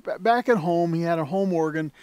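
A man's voice: fairly high pitch, fairly quick, darkish tone, clear, resonant tone, no room sound. A man demonstrating an organ in a shop, talking casually with many fillers and restarts. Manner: rambling, full of fillers and restarts. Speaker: organ dealer demonstrating an instrument. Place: indoors at an organ console.

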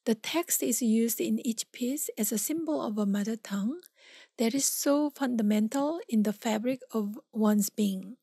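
A woman with a Korean accent reads her artist statement slowly and carefully. Her voice sits a little high, soft and breathy, with a warm, mellow tone. It is recorded dry and close, with no room sound. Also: no room sound. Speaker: exhibiting artist. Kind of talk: reading a prepared artist statement.

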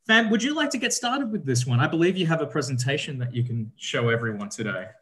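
A young man hosting an online event as an educator, upbeat and clear. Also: fairly high pitch, animated, fast, fairly bright tone. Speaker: educator and host. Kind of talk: webinar hosting. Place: room on video call. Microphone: video call audio.

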